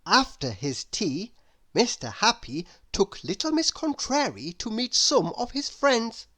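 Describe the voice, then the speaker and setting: A woman reading a children's story aloud with deliberate, expressive narration. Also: medium pitch. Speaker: storybook reader.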